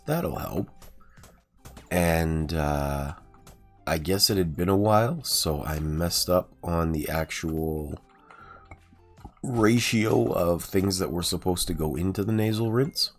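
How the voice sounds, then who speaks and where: A man with a sore, raspy throat, chatting casually and rambling through let's-play commentary, with frequent ums and uhs. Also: rumbling low. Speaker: gaming streamer.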